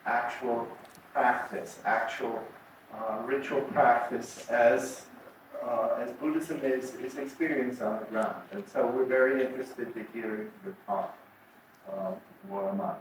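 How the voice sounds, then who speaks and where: A man hosts a public presentation and introduces the guest speaker. His speech is halting and full of repeated words. He sounds far from the mic, with a strong echo and faint noise behind him. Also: mid-range voice. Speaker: event host.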